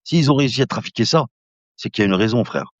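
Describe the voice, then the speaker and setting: A man's voice: mid-range voice, fast, no room sound. A man in his fifties hosting a casual live stream, chatting to viewers and calling them brother, rambling and laughing easily. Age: 50s. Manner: laughing often, relaxed rambling. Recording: dull lo-fi dry sound.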